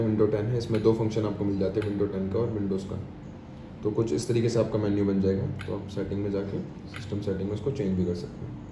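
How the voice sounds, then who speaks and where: An echoey, noisy room recording of an Indian man with a fairly low voice. He walks through a product step by step in an informal, explanatory way. He repeats himself and drops English terms into his speech. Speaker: product demonstrator. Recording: echoey room with clear background noise.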